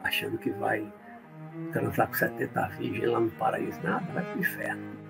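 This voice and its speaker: A man from Olinda, explaining spiritual ideas in a live talk and answering viewer comments, often checking in with a quick "right?". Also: fairly low pitch, monotone, gravelly, over music. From Brazil, born in Olinda, Pernambuco. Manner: explanatory, tag questions, reads comments aloud then answers.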